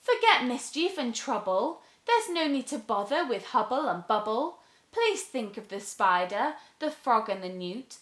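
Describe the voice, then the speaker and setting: A woman's voice: a little high, sing-song, a little slow, fairly bright tone, echoey room. A woman voicing a kind, earnest girl in a children's storybook, reassuring and pleading. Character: kind, gentle, earnest girl advising a witch.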